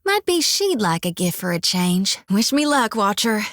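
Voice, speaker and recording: A woman's voice: mid-range voice, big pitch swings, normal speed, fairly bright tone, no echo. An actress voicing a folksy, earnest young woman priest of death in a video game, with a drawl. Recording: dry studio recording.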